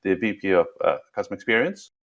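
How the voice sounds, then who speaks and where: A man with a South African accent, worn a little American with a hint of British, speaks in a very low voice. He rambles through a long answer, stumbling and repeating himself as he goes. He sounds like a podcast guest talking easily. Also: expressive, fast talker. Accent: South African, partly Americanized with a British touch. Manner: rambling, frequent restarts and repeated words. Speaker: guest, tech executive. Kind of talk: casual interview.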